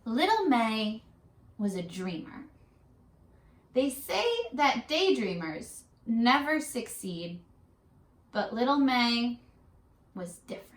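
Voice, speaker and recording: A woman teacher leading a read-aloud lesson for young children, in an animated, questioning, sing-song way. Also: fairly high pitch, takes it slowly, pauses often. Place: classroom-style room. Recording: echoey room, distant mic.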